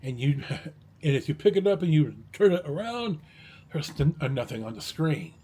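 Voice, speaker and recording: A man talking casually in a rambling, halting vlog style, blunt and a little salty, with a husky, rough-edged voice. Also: on the high side, sing-song, mellow. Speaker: vlogger.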